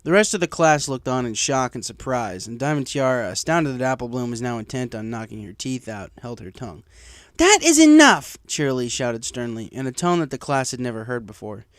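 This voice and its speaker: A man's voice: mid-range voice, fairly quick, hardly any pauses, no room sound, studio quality. A man reading a story aloud as scripted narration. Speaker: fan fiction reader. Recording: clear background noise.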